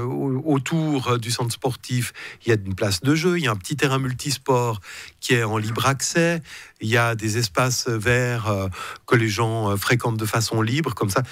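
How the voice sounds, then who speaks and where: Middle-aged man, mid-range pitch, halting, careful radio guest. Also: fairly bright tone, dry recording. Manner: measured, hesitant, many fillers and restarts. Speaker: council president interviewed as guest. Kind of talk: radio interview.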